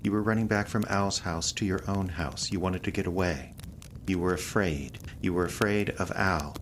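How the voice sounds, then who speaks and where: An actress playing a controlled, persistent interrogator in an audio drama, measured and probing. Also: female voice, rumbling low. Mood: insistent, pressing. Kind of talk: naturalistic audio drama acting. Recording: clear background noise.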